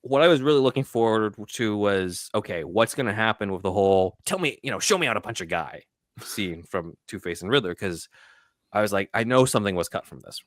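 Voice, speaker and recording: A man with a low-pitched voice hosts a film discussion. He talks casually through scenes one after another, recorded dry with little room sound. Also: lively intonation, brisk, no echo. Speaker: podcast host.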